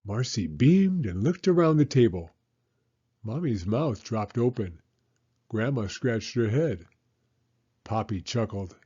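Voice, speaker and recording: Animated man narrating, mellow and husky. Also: mid-range voice, takes it slowly, long pauses, dull audio. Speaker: storybook narrator. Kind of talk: animated children's read-aloud narration.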